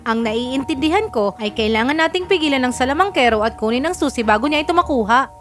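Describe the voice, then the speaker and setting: Babaeng gumaganap na matinis, determinadong batang babae. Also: female voice, sits high, expressive, quick pace, fairly bright tone, soft background music. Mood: determined. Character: practical, determined young heroine girl.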